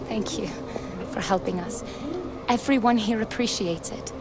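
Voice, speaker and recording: An actress playing an earnest female rebel fighter in a video game cutscene, hushed and persuasive. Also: fairly high pitch, a little slow, natural voice. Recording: audible background noise.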